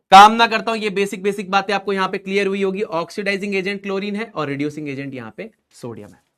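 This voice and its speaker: A young man teaching chemistry in a live class. He talks through each step in a relaxed, chatty way and keeps stopping to check that students are following along. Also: high-pitched. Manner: chatty, asks checking questions. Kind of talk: online class lecture.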